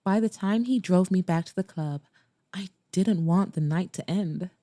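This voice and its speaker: Low, dark, velvety woman narrator. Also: normal speed, no echo, studio quality. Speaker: audiobook narrator. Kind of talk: scripted first-person romance narration.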